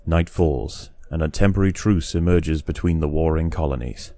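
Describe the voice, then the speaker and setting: A man narrating a game in a scripted nature-documentary style, measured, grave and dramatic. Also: extremely low pitch, dark tone, no room sound.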